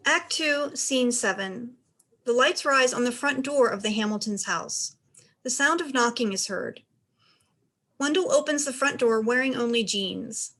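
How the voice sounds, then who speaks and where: A woman narrates a play script, reading the stage directions. Her voice sits fairly high and she delivers scripted scene descriptions. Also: unhurried, fairly bright tone.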